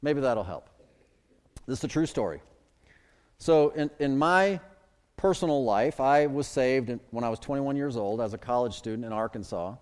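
A middle-aged man preaching from the pulpit, his mid-range voice rising in bursts of emphasis and dropping low again. His words ring out with a long reverb trailing through a large church hall. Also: expressive, moderate pace, darkish tone, clean tone. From Chicago suburbs. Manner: emphatic preaching with sudden swells and drops. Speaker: pastor. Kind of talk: sermon.

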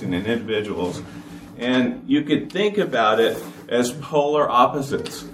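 A man, giving an informal talk to a church congregation in a flat, even-toned delivery. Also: mid-range voice. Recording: echoey hall from far off with noise.

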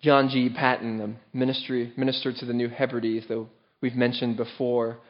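A man's voice: medium pitch, little pitch movement, moderate pace, dull audio. A man pastor preaching a sermon to his church in an earnest, emphatic way.

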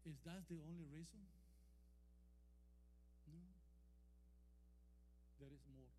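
High-voiced preacher with a Costa Rican accent. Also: male voice, dark tone. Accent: Costa Rican Spanish. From Costa Rica. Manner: calls and responses, drawn-out emphatic scripture quotes. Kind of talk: sermon. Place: church hall. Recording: long hall reverb, faint hum.

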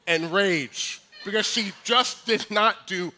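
A man in his forties with a high voice, preaching a sermon in a joking, conversational way with many restarts. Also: takes it slowly, bright, forceful tone, poor audio. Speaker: pastor. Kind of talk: sermon with humorous asides.

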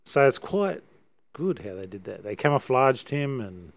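A man, a senior pastor co-hosting a podcast, chatting in relaxed, brief and joking replies. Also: medium pitch, measured pace, gravelly. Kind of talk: casual chat.

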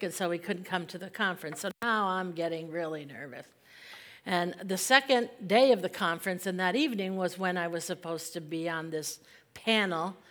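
Earnest woman missionary preaching. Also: medium pitch. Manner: earnest storytelling, reflective. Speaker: missionary speaker. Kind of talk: sermon with personal testimony. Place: church service.

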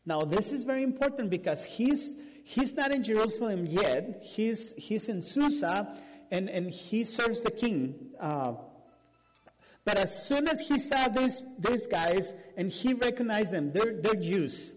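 A man with a Spanish accent, whose first language is Spanish, preaching a sermon and telling a story with animated restarts. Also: high-pitched, a little slow. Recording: long hall reverb.

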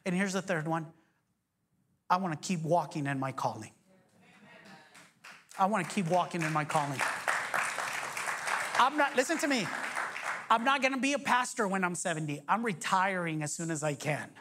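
A man in his forties preaching a sermon as pastor, energetic, joking and firing off rhetorical questions. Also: sits high, normal speed, long pauses, a crowd in the background, noisy background. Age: 40s.

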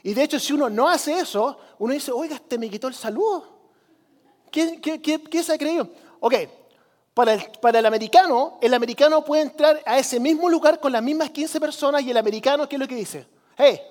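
A man's voice: very high-pitched, brisk, a little mellow. A man from Chile with a Chilean accent, giving a sermon as a preacher in a conversational manner, repeating phrases for emphasis. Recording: long hall reverb.

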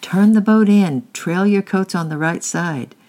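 A man voicing a very large angry man who yells urgent commands. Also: sits high, unhurried, pitched above the performer's own voice. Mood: yelling. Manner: yelling, commanding. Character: huge angry man bellowing from a riverbank.

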